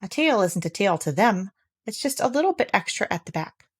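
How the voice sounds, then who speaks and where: A woman voicing a gloomy, self-pitying old male donkey who grumbles slowly and mournfully. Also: medium pitch, sing-song, husky.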